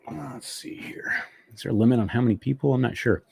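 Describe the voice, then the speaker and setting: A man's voice: medium pitch, fast, vocal fry, a little mellow, husky. A man hosting a motorcycle live stream from home, chatting casually and easygoing, with fillers. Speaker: motovlog live stream host.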